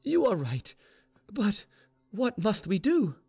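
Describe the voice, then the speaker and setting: A man's voice: sits high, huge pitch swings, slow pace, creaky, dark tone, slightly rough, pitched above the performer's own voice, full character voice. A man voicing a frightened, haughty young Russian noblewoman, with exclamatory, questioning storybook delivery. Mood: anxious. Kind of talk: storybook dialogue reading.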